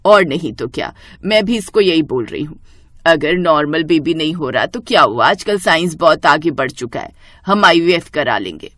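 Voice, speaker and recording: Woman acting a caring mother-in-law, lowered pitch. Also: expressive, normal speed, fairly bright tone, no room sound, clean studio sound. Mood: reassuring. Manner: reassuring, chiding.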